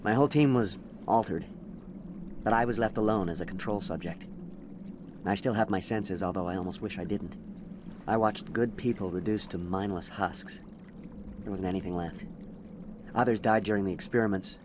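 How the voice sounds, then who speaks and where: An actor playing a traumatised captured alien soldier in a video game, intense and clipped. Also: male voice, lowish voice, moderate pace, long pauses, noisy background, lightly acted. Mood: haunted, grim. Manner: intense, haunted, clipped military speech. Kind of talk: video game dialogue acting. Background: game score music.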